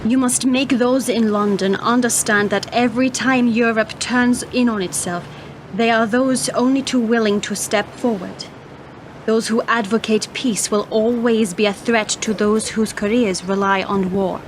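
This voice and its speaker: A woman's voice: a little high, steady tone, unhurried, natural voice. An actress playing a grave female messenger, solemn and formal, in a radio play. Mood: grave warning. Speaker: radio drama actor. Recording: very noisy, traffic-like ambience.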